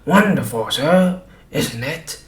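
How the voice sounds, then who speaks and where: A man's voice: on the high side, expressive, slow pace, reverberant, voice pushed up. A man voicing a bullying, fawning, greedy sideshow shopkeeper for an audiobook, with emphatic, servile delivery. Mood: fawning, boastful. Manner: fawning, emphatic, says sir repeatedly. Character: loud, grinning, greedy male sideshow shopkeeper, bullying and obsequious. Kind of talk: audiobook character voice.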